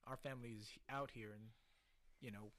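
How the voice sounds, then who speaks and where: A man from the Philippines, a podcast guest, telling stories from his life in a lively, casual way and often checking in with "right?". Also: mid-range voice, a little fast. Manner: animated storyteller, keeps checking in with "right?". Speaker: podcast guest, casino host. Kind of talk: casual storytelling interview.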